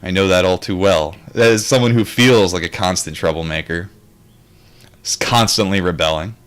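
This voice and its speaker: A man's voice: deep voice, normal speed, clear, resonant tone. A man reading a book aloud and adding his own casual, rambling commentary, with wry and reflective asides.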